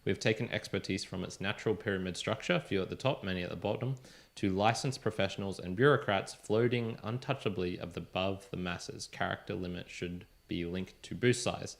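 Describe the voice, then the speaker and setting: Australian man, low voice, casual co-host, rambling storyteller, swears freely. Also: fairly even intonation, brisk, creaky. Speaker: podcast co-host. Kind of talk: casual podcast chat.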